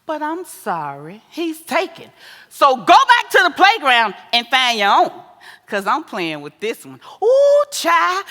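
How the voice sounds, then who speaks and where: A woman acting a sassy, scolding, wise older American mother in a stage play, with an animated, folksy, preachy delivery. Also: high-pitched, full character voice. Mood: sassy, teasing. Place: live stage in a theater. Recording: echoey theater hall with long reverb.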